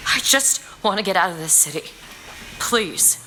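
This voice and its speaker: An actress playing a sheltered but sharp-tongued young woman in game dialogue. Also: medium pitch, lively intonation, a little slow, background noise, lightly acted. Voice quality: crisp, cutting.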